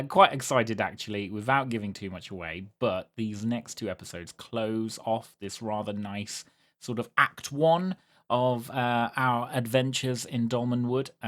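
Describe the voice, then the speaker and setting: A man's voice: on the low side, fairly bright tone. Man hosting a tabletop game, chatty. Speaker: host and game master.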